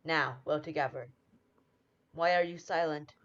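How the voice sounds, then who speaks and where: A young adult student actor playing a noble, earnest exiled prince in a radio Shakespeare play, speaking in measured, declamatory verse. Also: medium pitch, steady tone, a bit bright, close to an everyday voice. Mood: earnest, solemn. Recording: dull lo-fi audio.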